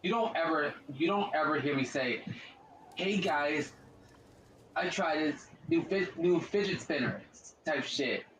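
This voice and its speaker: A man with a deep voice giving casual let's-play commentary as a gaming streamer, rambling and repeating himself with slang. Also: expressive, measured pace, fairly bright tone, reverberant, a little hiss or hum, rough recording.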